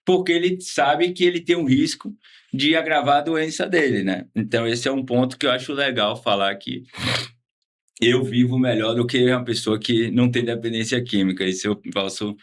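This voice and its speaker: A man from Salvador with a Bahian accent, talking casually as an interview guest, relaxed and slangy, often ending with tag questions. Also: medium pitch, moderate pace. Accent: Bahian Portuguese. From Salvador, Bahia, Brazil. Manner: laid-back slangy talk with frequent tag questions. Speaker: guest, former patient.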